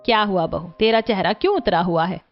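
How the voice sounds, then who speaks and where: A man puts on an older mother-in-law for a cartoon. She is caring and approving, speaking high over music in dull-sounding audio. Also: fairly quick. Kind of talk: cartoon story character acting.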